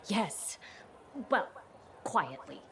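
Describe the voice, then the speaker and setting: A woman's voice: mid-range voice, expressive, drawn-out words, fairly bright tone, a little hiss or hum, heavily acted. A woman playing an earnest, socially awkward sentinel guard in a video game, speaking in a stiff, hesitant way. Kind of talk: video game cutscene acting.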